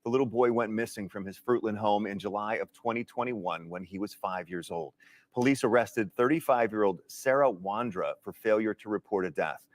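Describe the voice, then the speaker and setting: A man's voice: fairly low pitch, normal speed, no echo. An American man reading the news as a television anchor.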